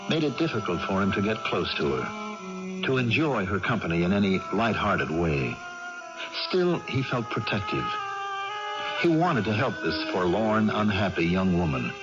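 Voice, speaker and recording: An actor playing a reflective nephew who narrates his aunt's family history in measured, literary radio drama style. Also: sits low. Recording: dull vintage radio, music underneath.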